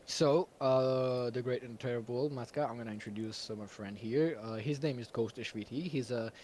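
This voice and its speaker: A man's voice: mid-range voice, moderate pace, a little mellow, a little hiss or hum. A man whose first language is Georgian, hosting a stream in casual chat, laughing often and rambling with fillers. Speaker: stream host.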